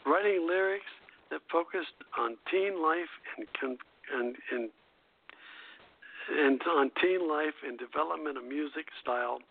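Tinny phone-quality audio, male radio host, slightly high gravelly voice, halting scripted narration, stumbling over words. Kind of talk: reading a scripted music history aloud.

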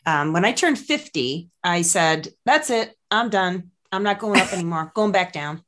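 Brief: woman, 50s, pet health expert, earnest podcast chat, lively delivery. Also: fairly low pitch, moderate pace. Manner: animated, earnest, swoops up into quoted voices.

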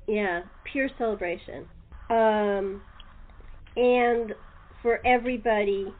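A woman hosting a casual group interview chat on a podcast, heard over a thin phone-like line. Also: fairly high pitch. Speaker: host of a radio-play podcast.

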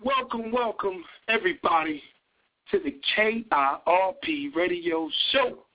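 A hoarse man hosting a live talk radio show, greeting listeners in a casual, rambling way. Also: high-pitched, expressive, a little slow. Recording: tinny phone-line broadcast audio.

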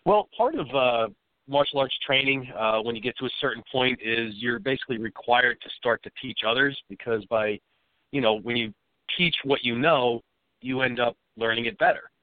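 A man with a cold and a slightly raspy voice, answering interview questions as a safety expert in an easygoing, explanatory way. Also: mid-range voice, moderate pace, dry recording. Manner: explains at length in a plain, easygoing way. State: has a cold, a bit raspy. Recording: dry, phone-like line.

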